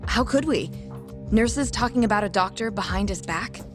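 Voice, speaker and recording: A woman's voice: medium pitch, normal speed, music in the background, lightly acted. A woman playing an earnest, guarded young nurse in an English-dubbed game drama, speaking precisely and formally.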